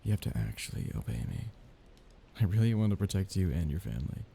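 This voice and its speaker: A man playing a soft-hearted, nervous young mafia heir in an intimate ASMR romance roleplay, speaking softly, haltingly and apologetically. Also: sits low, sing-song, creaky, a little hiss or hum, full character voice. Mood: earnest, protective.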